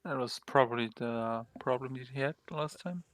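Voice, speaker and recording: A man's voice: medium pitch, normal speed, a bit bright. A man with a German accent co-casting a video game match in an easygoing, casual way, tired late at night. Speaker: co-caster.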